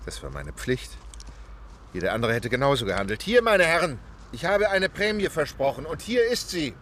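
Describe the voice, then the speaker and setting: A man playing a composed, courteous gentleman traveler with a formal, unflappable manner in an audio drama. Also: medium pitch, sing-song, moderate pace, a little hiss or hum.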